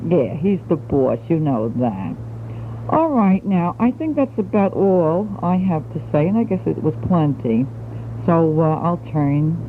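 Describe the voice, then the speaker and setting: An old home cassette, narrowband and faintly noisy, carries a young woman from the United States. She chats to family in a casual, hesitant, gently teasing way. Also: sits low. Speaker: wife and mother recording a taped letter. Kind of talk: casual spoken letter to family. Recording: muffled, noisy 1960s home cassette tape.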